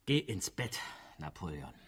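An actor playing a disillusioned, sardonic rebel union founder in a radio drama, with dry irony and a husky voice. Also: male voice, deep voice, sing-song, full character voice. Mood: weary irony. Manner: rhetorical, dryly ironic, deliberate repetitions.

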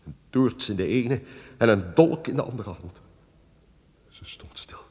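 An actor playing a tyrannical, haunted and wrathful duke in an old radio drama, declaiming melodramatically. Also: male voice, on the low side, expressive, unhurried, darkish tone. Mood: haunted, shaken. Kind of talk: old radio play acting. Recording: noisy old radio recording.

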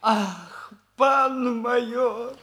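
An actor playing a fussy, excitable dandy of a dance teacher in a 1960s radio comedy, gushing and exclamatory. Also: male voice, extremely high pitch, very slow, heavily acted. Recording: 1960s radio play, music behind.

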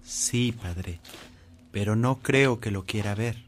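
A man's voice: fairly low pitch, takes it slowly, slight background noise. A man playing a respectful townsman in a radio drama. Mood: respectful, doubtful. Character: respectful townsman reporting to a priest.

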